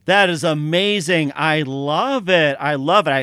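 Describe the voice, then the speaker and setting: A man's voice: on the high side, a little slow, bright, forceful tone, clear, resonant tone, no room sound, clean studio sound. Rambling male radio host. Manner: rambling, repeats "as well, too". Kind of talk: casual interview chat.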